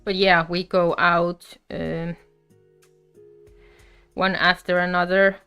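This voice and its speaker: Woman, smooth low voice. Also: flat delivery, fairly bright tone, soft background music. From Finland. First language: Finnish. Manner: chatty and unhurried, with frequent fillers. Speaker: crochet livestream host. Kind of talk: casual live crafting chat.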